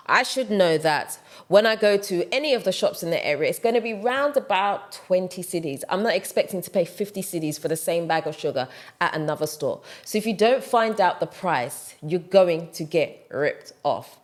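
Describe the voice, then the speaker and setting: Woman, low-pitched, emphatic, direct, casual advice to camera. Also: animated, moderate pace, few pauses. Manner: direct, emphatic, repeats points for stress. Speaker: vlogger giving advice.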